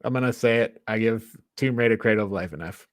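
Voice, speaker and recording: A man hosting a casual film review discussion, thinking aloud in an informal, halting way. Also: on the low side, no room sound, clean studio sound. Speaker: podcast host.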